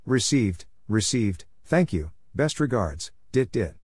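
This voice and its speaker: Slow, low-pitched man reading practice exchanges. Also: very animated, a little mellow, slight background noise. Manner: measured, spelling out call signs and numbers. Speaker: Morse code practice narrator. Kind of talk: reading radio contact exchanges aloud for practice.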